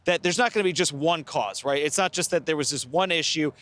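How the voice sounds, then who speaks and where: A middle-aged American man reporting live as a TV news correspondent, speaking in a measured, careful, explanatory way. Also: fairly high pitch, a bit bright. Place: outdoors by a river at night.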